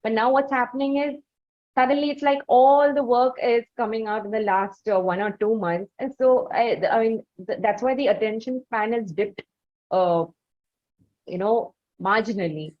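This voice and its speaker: Woman interviewer with dull lo-fi sound. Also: a little high. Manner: wordy, formal, deliberate phrasing. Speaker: interviewer, podcast host. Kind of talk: conversational interview.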